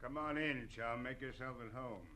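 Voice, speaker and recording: An actor playing a laconic, shady, grimly unhurried investigator, terse and slangy, in an old radio drama. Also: male voice, medium pitch, bright, forceful tone, close to an everyday voice. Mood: grim, cool. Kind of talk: 1940s radio drama acting. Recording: slightly noisy old radio recording.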